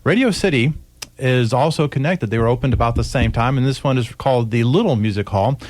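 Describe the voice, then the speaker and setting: A man of medium pitch gives a casual promotional interview as a show's troupe member. The studio sound is dry, with very little room on the voice. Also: brisk, a little mellow, no room sound. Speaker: radio show troupe member, interview guest. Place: radio station studio.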